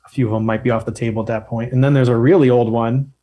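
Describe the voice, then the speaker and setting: Male guest, medium pitch, talkative and easygoing, explains with light humor. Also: steady tone, fast, mellow. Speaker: guest expert on loans. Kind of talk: casual podcast chat.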